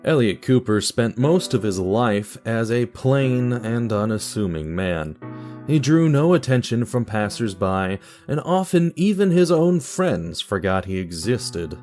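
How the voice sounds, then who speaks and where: A man's voice: medium pitch, animated, unhurried, darkish tone, faint music behind. A man narrating scripted fiction in a wry, ominous, formal manner, addressing the listener directly.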